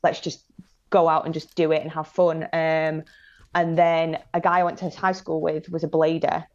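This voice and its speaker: Low-voiced young Northern English woman, dull audio. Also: little pitch movement, normal speed, darkish tone. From northern England, Manchester and Blackpool area. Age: late 20s. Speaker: podcast guest, skateboarder. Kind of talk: casual chat, personal anecdotes.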